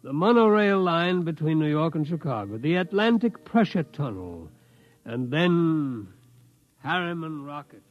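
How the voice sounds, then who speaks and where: An actor playing an obsessed, determined old tycoon in a 1950s radio drama, reminiscing and insistent. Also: male voice, fairly high pitch, big pitch swings, measured pace. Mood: wistful, defiant. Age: older. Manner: reminiscing, insistent, tags sentences with "hmm?". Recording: dull 1950s radio recording.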